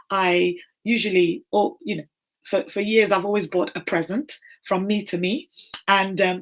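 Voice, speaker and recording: A woman in her fifties hosting a casual encouraging livestream chat, her voice hoarse and croaky. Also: mid-range voice. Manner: warm encouraging, greets viewers by name. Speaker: livestream host.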